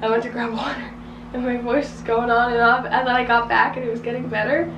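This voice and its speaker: A woman's voice: on the high side, little pitch movement, brisk, dull audio. A teenage girl in offhand, casual chat, a bit mumbled. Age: teens. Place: car and horse barn. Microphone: camera held by another person, some distance away. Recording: roomy, distant, faint noise.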